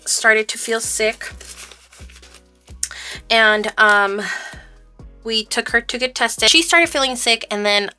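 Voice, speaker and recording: Bright-voiced woman vlogger, casual chat. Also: on the high side, steady tone, smooth, faint music behind. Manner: informal, halting storytelling interleaved with counting. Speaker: budgeting vlogger. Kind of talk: casual chat while counting cash aloud.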